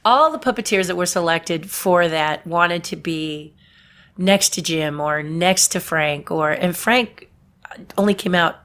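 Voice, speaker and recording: A middle-aged woman from Southern California with a deep voice, chatting about her puppetry work in an interview and laughing at her own stories. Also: a little slow, a bit bright. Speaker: puppeteer guest. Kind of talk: casual interview storytelling.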